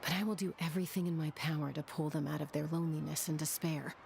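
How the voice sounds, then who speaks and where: A woman voicing a quiet, grieving young adult in a game, subdued, with noise behind. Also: low-pitched, steady tone, fast talker, gravelly.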